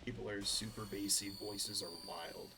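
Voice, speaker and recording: Man, lower voice, casual gameplay commentary, chatty and rambling, noticeable background noise. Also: fairly even intonation, moderate pace. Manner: chatty, rambling, with fillers and self-corrections. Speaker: gaming channel host.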